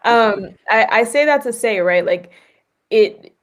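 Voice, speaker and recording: This woman hosts a casual interview podcast. She talks in a lively, chatty way and scatters "like" and "right?" through her sentences. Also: fairly high pitch, takes it slowly. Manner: animated and conversational, often adds "like" and "right?". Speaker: podcast host.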